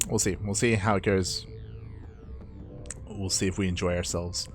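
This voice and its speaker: A man with a somewhat low, hoarse and raspy voice chats loosely while signing off a stream. He rambles and repeats phrases, and faint music plays behind him. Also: steady tone, normal speed. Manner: rambling, repeats himself, laughs often. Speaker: esports caster. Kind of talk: casual stream sign-off chat.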